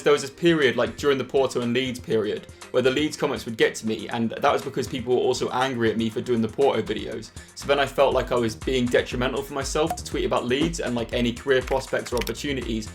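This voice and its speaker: Fast-talking earnest young man. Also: mid-range voice, soft background music. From England. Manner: candid, earnest, quick-talking. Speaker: football vlogger. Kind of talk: casual vlog to camera.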